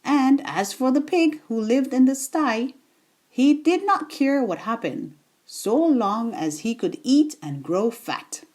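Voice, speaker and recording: A woman reading a children's bedtime story aloud in a deliberate, expressive storytelling manner. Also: high-pitched.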